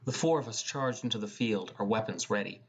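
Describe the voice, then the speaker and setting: A man's voice: on the low side, fairly quick, breathy edge. A man reading first-person fantasy narration as an audiobook narrator. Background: music.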